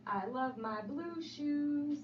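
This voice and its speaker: High-voiced woman reading slowly, roomy sound. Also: lively intonation. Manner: sing-song, repeating refrains and asking questions. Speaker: storybook reader. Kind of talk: animated children's read-aloud. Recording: roomy, distant, dull sound with faint noise.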